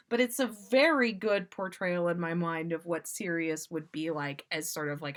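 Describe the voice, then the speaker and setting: A woman hosting a story podcast, reading fan fiction aloud in an expressive, animated way with dramatic pauses and casual asides. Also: mid-range voice. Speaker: podcast host reading listener stories.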